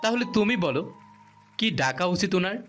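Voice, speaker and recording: Man acting a lively, high-voiced teasing young husband. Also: normal speed. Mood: defensive. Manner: teasing, argumentative. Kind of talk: audio love-story acting. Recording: music bed behind the voice.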